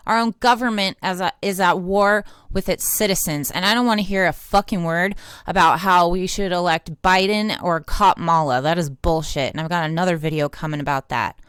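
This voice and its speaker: A woman's voice: mid-range voice, smooth, dry recording, studio quality. An American woman giving impassioned, unscripted political commentary, blunt and indignant, swearing freely. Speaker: independent online political commentator and activist.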